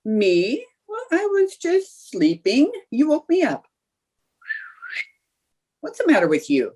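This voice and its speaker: A woman's voice: high-pitched, huge pitch swings, takes it slowly, a little mellow, full character voice. A woman playing a scatterbrained young housewife, sly and theatrically innocent, in old-time radio comedy style. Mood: feigned innocence.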